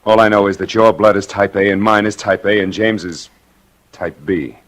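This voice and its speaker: An actor playing a stern, embittered surgeon and husband with clipped, controlled delivery in 1940s radio drama. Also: male voice, on the low side, fairly even intonation, moderate pace, a bit bright, natural voice. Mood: bitter, accusing. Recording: vintage radio broadcast.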